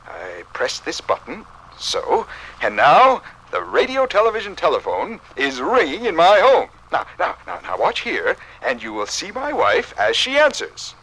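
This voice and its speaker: An actor playing a pompous, precise professor from the future in a 1950s radio drama, with formal diction. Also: male voice, on the high side, fairly bright tone, heavily acted, rough recording.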